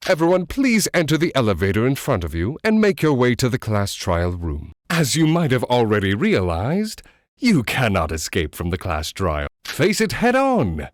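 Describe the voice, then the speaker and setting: A man's voice: medium pitch, no echo, studio quality. Man playing a wildly theatrical killing-game master of ceremonies. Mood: gleeful showmanship. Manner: dramatic, drawn-out showman delivery. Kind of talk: anime-style game fan dub.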